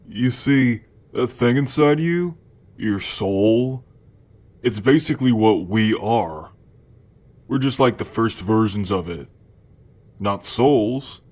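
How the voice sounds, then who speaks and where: Man playing a low-voiced, easygoing gluttonous demon. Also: a little mellow. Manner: casual slacker drawl with hesitations and chuckles. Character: cocky, easygoing male demon of gluttony, playful and food-obsessed. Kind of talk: ASMR roleplay addressed to the listener.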